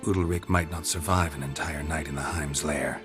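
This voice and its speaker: A grizzled monster hunter with an extremely deep voice. He talks in short, clipped, matter-of-fact sentences, and faint game music plays beneath him. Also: steady tone, normal speed. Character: gruff grizzled male monster hunter, terse and dry. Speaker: game protagonist.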